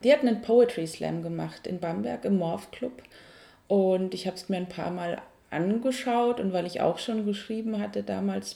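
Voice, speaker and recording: A Franconian woman in her thirties answers interview questions with a mellow, somewhat low voice. There is a gravelly fry in her tone, and she searches for words, with fillers and restarts. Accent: Franconian German. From Franconia, Germany. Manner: thoughtful, hesitant, many fillers and restarts. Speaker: cabaret artist, guest.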